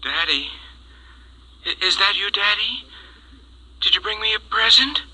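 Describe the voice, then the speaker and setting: An actor playing a grown lieutenant regressed to a frightened six-year-old in a 1950s radio drama, thin and childlike. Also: male voice, high-pitched, animated, unhurried, sharp tone, slightly rough, exaggerated voice, low-quality recording. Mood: childlike, scared.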